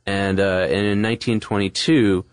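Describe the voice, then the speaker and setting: A man's voice: on the low side, fairly even intonation, moderate pace, clear, resonant tone, no room sound. A man co-hosting a podcast, explaining history in a casual, conversational and informal way. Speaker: podcast co-host.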